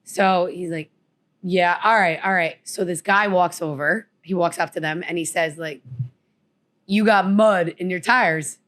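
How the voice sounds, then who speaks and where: A woman's voice: lowish voice, a little slow, a bit bright. A woman from New Jersey with a New Jersey accent, co-hosting a podcast and telling a story in casual chat, acting out the voices she quotes. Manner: chatty, acts out quotes. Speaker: podcast co-host.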